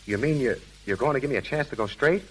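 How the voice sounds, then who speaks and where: An actor playing a bitter, tough but lovestruck crook in 1930s American radio drama. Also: male voice, mid-range voice, quick pace, slight background noise, close to an everyday voice, rough recording. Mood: sarcastic, incredulous. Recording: humming, sizzling old 1930s radio recording.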